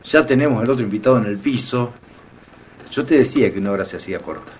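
A man's voice: medium pitch, lively intonation, fast talker, darkish tone, slight background noise. A man with an Argentine Spanish accent hosting a radio interview, friendly and teasing. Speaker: radio host.

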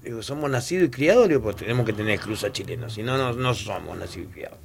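A man's voice: lowish voice, expressive, quick pace, vocal fry, slight background noise. A man hosting a casual chat and retelling anecdotes with repeated "digo". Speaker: host.

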